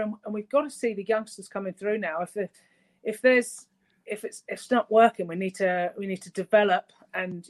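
A guest pundit talks keenly about the game in a casual chat. The voice is mid-pitched and restarts phrases often. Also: rough recording. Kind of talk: casual interview.

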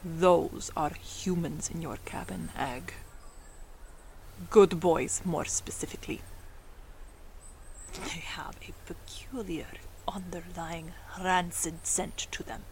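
A watchful supernatural being in an audio drama. Her voice is on the low side, measured and dryly formal. There is faint noise behind her. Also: steady tone, low-quality recording. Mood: cool, watchful. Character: cool, watchful supernatural woman with keen senses.

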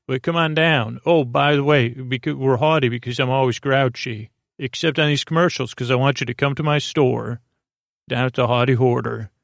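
A man hosting a sleep podcast, giving a meandering, halting bedtime monologue with many digressions in a gravelly, creaky voice. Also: mid-range voice, no echo, studio quality.

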